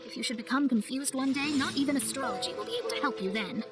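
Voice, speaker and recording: A woman's voice: sits high, quick pace, over loud music, close to an everyday voice. A woman voicing a proud, earnest young female astrologer in a video game, speaking formally and precisely. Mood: solemn.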